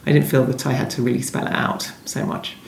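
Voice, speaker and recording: Low-voiced woman in a roomy space. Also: little pitch movement, a little fast, vocal fry, a little hiss or hum. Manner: discursive, thinking aloud, rephrasing as she goes. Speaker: novelist being interviewed about her book. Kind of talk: conversational interview answers. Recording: roomy, echoing indoor sound.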